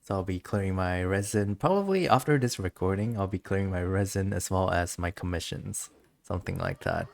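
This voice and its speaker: Casting: game streamer with a low voice, chatty, giggly, rambling commentary, recorded close and dry. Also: fairly quick, gravelly, a little mellow, dry recording. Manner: giggly, rambling, reads game text aloud. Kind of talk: casual gameplay commentary, chatting to viewers.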